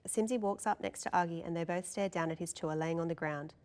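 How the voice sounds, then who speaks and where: A woman's voice: lowish voice, a little fast, a little mellow. A woman narrator reading stage directions and scene descriptions at a table read.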